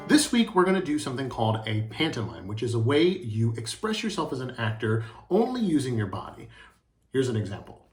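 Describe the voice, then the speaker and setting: An encouraging, expressive man teaching a children's drama lesson and narrating a storybook. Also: medium pitch, brisk, faint music behind.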